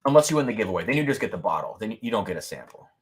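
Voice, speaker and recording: A man hosting a whiskey-tasting livestream, talking loudly and excitedly, laughing often and reading viewer comments aloud into a boom mic. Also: racing, husky. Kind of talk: casual live-stream chat. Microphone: boom mic moved back from mouth.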